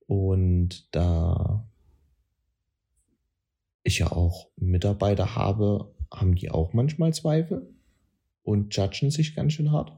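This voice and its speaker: A man's voice: fairly low pitch, takes it slowly, vocal fry, long pauses, warm tone, breathy edge, lo-fi sound. A man with a Saxon German accent chatting casually on a podcast, rambling, correcting himself and using blunt slang. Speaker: podcast co-host. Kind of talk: casual chat.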